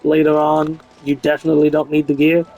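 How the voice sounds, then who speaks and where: Higher-voiced man reviewing a game. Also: completely flat delivery, fairly quick, warm tone, low-quality recording. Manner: frank, opinionated. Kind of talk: casual, unscripted game review commentary. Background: music behind the voice.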